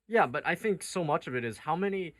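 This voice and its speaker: A man's voice: a little high, expressive, a little fast, fairly bright tone, dry recording, clean studio sound. A man hosting sports talk, reasoning conversationally through counterpoints in a basketball debate. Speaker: sports radio talk show host.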